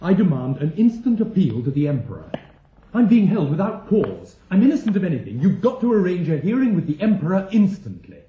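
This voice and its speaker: A British actor playing an earnest, anxious young mathematician who is quick to indignation, in a radio drama. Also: male voice, high-pitched, very animated, narrow, tinny audio, a little hiss or hum. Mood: indignant. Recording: roomy, echoing radio drama recording.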